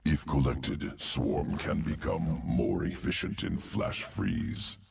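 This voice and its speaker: A man voicing a cold, obsessive insectoid alien geneticist in a video game, speaking in clipped, telegraphic phrases with a guttural croak. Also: animated, slow, full character voice. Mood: cold, clinical. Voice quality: deep guttural gravelly croak. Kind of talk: video game character dialogue. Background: music.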